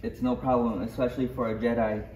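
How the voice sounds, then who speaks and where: A man playing a polite, halting alien cloner with a salesman's pride, in a parody table read. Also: medium pitch, level tone, a little mellow, lightly acted. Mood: polite, eager. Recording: echoey room, distant mic, faint noise.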